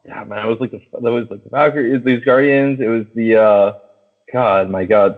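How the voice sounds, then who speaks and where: A young man co-hosting a podcast, chatting casually and excitably about a film in slangy, sweary speech. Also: mid-range voice, brisk. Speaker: podcast co-host. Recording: thin, phone-like band-limited audio.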